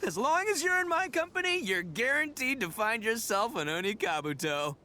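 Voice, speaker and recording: An actor voicing a boisterous horned oni man, a cocky braggart with loud laughs. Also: extremely high pitch, big pitch swings, normal speed, heavily acted. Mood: bragging. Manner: boastful, loud laughs, brash. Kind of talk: game voice acting. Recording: game dialogue over music.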